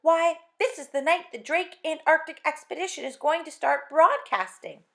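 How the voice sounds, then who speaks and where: A woman's voice: sits high, moderate pace, vocal fry, bright, forceful tone, pitched above the performer's own voice, heavily acted. A woman reading aloud and voicing an eager middle-aged husband who dreams of polar adventure, talking earnestly and enthusiastically.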